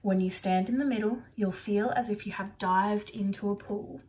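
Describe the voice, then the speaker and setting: A woman voicing a gentle, patient old man, a painter in a storybook, in a kindly, measured way. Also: mid-range voice, fairly even intonation, recorded from a distance, close to an everyday voice. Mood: proud.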